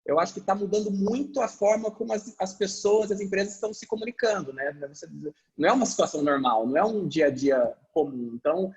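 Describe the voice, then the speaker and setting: Higher-voiced man on a panel. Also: poor audio. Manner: animated, explanatory, sentences trail into fillers. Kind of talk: webinar panel discussion. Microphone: video call.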